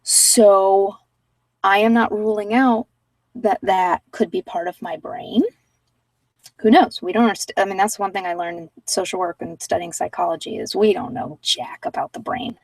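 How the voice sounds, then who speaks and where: A woman talking informally and unscripted on an ASMR meditation channel, thinking aloud in a hesitant, reflective, rambling way with pauses. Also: mid-range voice, fairly even intonation, dry recording, distant mic, poor audio. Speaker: meditation guide and former social worker.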